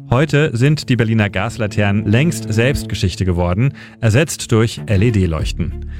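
A man with a lowish voice reads a scripted history piece for radio. His delivery is measured, like a storyteller. Clear music plays underneath the whole time. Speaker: radio editor. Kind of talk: scripted narration. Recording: narration over clear music. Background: music bed.

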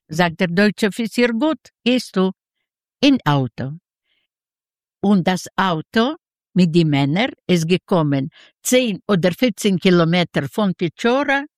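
A very old woman with a Yiddish-Ukrainian accent tells a personal story in an interview. Her delivery is slow and halting, in simple broken sentences, with animated intonation when she voices quoted speech. The recording is close and dry. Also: on the low side, dry recording. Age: 90s. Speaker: interview guest, Holocaust survivor giving testimony.

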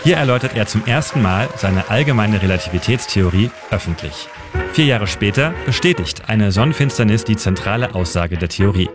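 Low-voiced male storyteller over clear music. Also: animated, brisk. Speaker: history segment presenter. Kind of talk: scripted storytelling narration. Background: music bed.

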